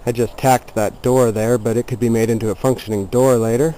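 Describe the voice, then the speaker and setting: A man's voice: mid-range voice, steady tone, brisk, warm tone, rough recording. A plainspoken man giving a casual, explanatory walkthrough of something he built, talking from behind a handheld camera outdoors. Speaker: DIY builder showing a chicken coop. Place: outdoors in a yard beside the coop. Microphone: handheld camera mic, speaker behind the camera.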